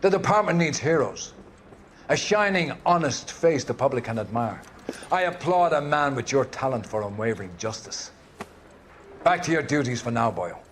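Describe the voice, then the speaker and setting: An actor playing a pious, menacing older police captain, speaking in deliberate, sermon-like oratory for a video game. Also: male voice, mid-range voice, lively intonation, slight background noise, lightly acted. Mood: approving. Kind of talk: cinematic video game acting.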